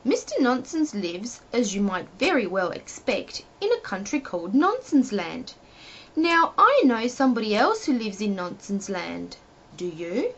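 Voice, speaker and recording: A woman reading a children's story expressively, addressing the young listener with playful questions in a husky voice. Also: a little high. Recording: dull lo-fi home recording.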